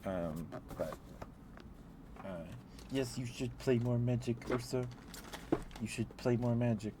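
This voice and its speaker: A man's voice: mid-range voice, takes it slowly, background noise. A man livestreaming casual, rambling ASMR chat, talking to viewers in a hesitant, meandering, apologetic way.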